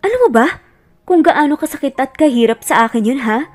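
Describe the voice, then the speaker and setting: A woman's voice: sits high, expressive, fairly quick, faint music behind, voice pushed up. A woman voicing a hurt young woman confronting a lost love in an emotional, accusing way, in a romance audio story. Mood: hurt, crying.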